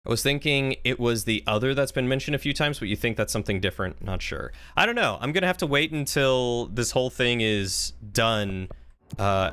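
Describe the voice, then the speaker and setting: A man's voice: on the low side, a bit bright, smooth. A man doing casual, wry live game commentary and chatting with viewers as he plays. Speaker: game streamer.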